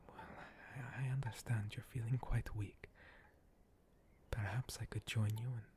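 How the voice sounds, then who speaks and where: A man playing a gentle, long-lived monster cleric in a whispered ASMR roleplay, breathy, courteous and a little hesitant, with formal phrasing. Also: lowish voice, fairly even intonation, a little slow, background noise, natural voice. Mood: gentle, hospitable.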